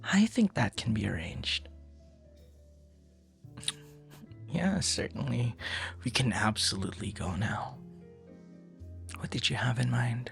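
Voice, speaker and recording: A man performs a flustered young romantic in an ASMR roleplay, tender and halting. His speech is unhurried and expressive, with a creaky edge to the murmured delivery. He sounds shy yet openly smitten. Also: medium pitch, soft background music, heavily acted. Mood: playful, affectionate. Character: smitten, flustered young male stranger turned romantic suitor. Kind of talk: intimate ASMR boyfriend roleplay.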